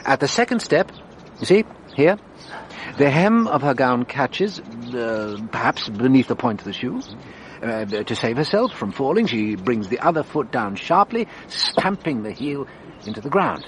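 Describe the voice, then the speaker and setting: An actor playing a shrewd French detective inspector in a radio drama, teasing and deliberate in his theatrical delivery. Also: medium pitch, sing-song, a little hiss or hum. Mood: dramatic, explaining.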